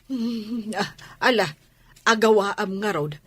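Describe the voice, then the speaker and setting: A woman's voice: mid-range voice, animated, slow pace, noisy background. An actress playing a worried, protective mother in a radio drama, pleading in a fretful, hesitant way.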